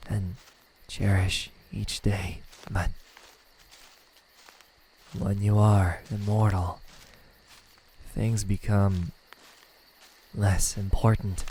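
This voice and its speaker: A man playing a lonely immortal demon in an ASMR roleplay, haughty and halting, secretly tender, with a husky voice. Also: lowish voice, dark tone, slight background noise. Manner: slow, halting, condescending speech with stammers and sighs. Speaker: voice actor.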